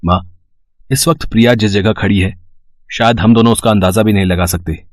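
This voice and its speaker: A man playing a caring young husband and son in an animated moral story, earnest, gentle and persuasive. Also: fairly low pitch, a little fast, no echo, studio quality, lightly acted. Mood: earnest, pleading.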